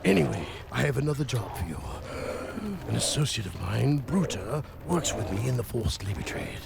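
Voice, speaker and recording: An actor playing an oily, scheming crime boss in a game cutscene, drawling and savoring every word with unctuous, gloating airs. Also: male voice, medium pitch, lively intonation, moderate pace, vocal fry, heavy background noise. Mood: smug, scheming. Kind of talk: video game cutscene acting.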